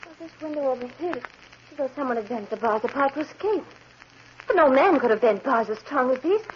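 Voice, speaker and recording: A woman's voice: high-pitched, lively intonation, a little fast. An actress playing a determined, suspicious young woman with an insistent, accusing manner in a 1940s radio drama. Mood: alarmed, accusing. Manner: insistent, forceful in argument. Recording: muffled, hissy 1940s radio recording.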